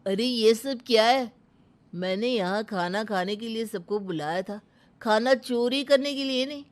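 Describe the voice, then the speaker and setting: A woman acts out an angry brother of the bride in an animated comedy tale. He scolds indignantly in a highish, forceful voice. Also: a little slow, natural voice.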